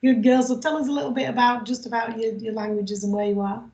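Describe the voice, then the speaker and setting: A woman's voice: on the high side, flat delivery, fast. A woman hosting a casual video call chat with a group of guests. She talks in a chatty way, with many restarts. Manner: chatty, warm-hearted fillers and restarts. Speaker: host. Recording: echoey room over lo-fi call audio.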